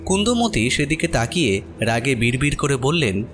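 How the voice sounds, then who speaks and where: A man's voice: medium pitch, sing-song, moderate pace, fairly bright tone, soft background music. A man narrating a horror story expressively from a script.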